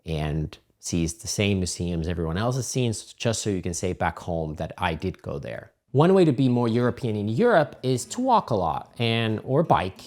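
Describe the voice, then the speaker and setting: A middle-aged man, giving casual, animated travel advice as a channel host. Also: fairly low pitch, moderate pace, hardly any pauses. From Finland.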